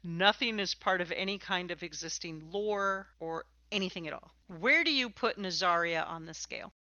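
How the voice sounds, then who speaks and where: Female podcast host, casual and encouraging, crisp forward voice on dry, slightly lo-fi audio. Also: on the low side, normal speed, sharp tone, no room sound. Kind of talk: casual conversational interview.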